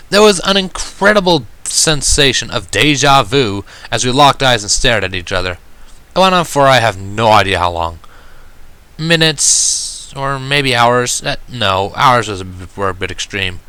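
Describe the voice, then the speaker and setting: A man narrating a first-person story in an expressive, reflective and dramatic way. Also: medium pitch, moderate pace, clear, resonant tone, no echo. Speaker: reader of fan fiction. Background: background music.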